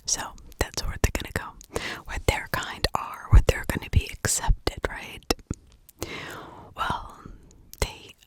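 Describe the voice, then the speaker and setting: A woman whispering in a breathy, unvoiced ASMR style, telling a story informally with conversational asides. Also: slow. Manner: conversational, with rhetorical asides and check-ins. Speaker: ASMR true crime storyteller.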